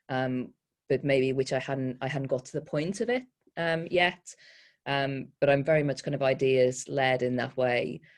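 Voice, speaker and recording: A poet and panel guest giving thoughtful, hesitant answers, often self-correcting and gently wry. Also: mid-range voice.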